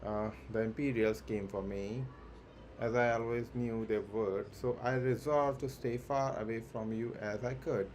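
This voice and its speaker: A man livestreaming a game, reading its dialogue aloud haltingly, with stumbles and self-corrections. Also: mid-range voice, fairly even intonation, darkish tone. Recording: clearly noisy background.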